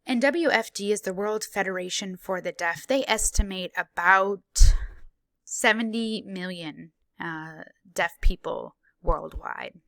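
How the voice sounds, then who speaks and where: A woman interprets a presentation aloud, her low voice carrying a touch of fry under a bright, forceful edge. She moves phrase by phrase in a measured, formal manner, with small pauses and fillers. The sound is close and dry, with no room around it. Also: no echo. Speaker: interpreter voicing a signed conference talk. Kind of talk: live spoken interpretation of a presentation.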